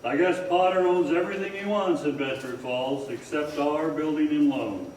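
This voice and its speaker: An actor playing a tired, kindly older father in a radio drama on stage, gentle, measured and plain-spoken. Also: on the high side, close to an everyday voice. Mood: weary. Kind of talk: old-time radio drama acting on stage. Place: performance hall, far from the microphone. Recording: very echoey, distant stage recording, faint noise.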